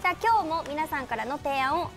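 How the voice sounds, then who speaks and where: Young woman radio mc, super high bouncy voice, giggly banter, music behind. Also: brisk, crowd noise. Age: young adult. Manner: animated, giggly. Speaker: assistant MC of a game radio show. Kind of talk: bubbly radio show presenting and banter. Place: small bright room with a table, indoors.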